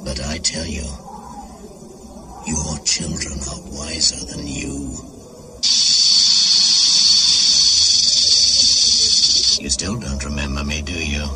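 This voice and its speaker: A man's voice: very deep voice, level tone, unhurried, heavy background noise. A man playing an ancient, menacing shaman spirit in a radio drama, speaking in an incantatory, ominous manner. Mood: reproachful, ominous. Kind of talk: 1980s radio drama monologue. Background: music.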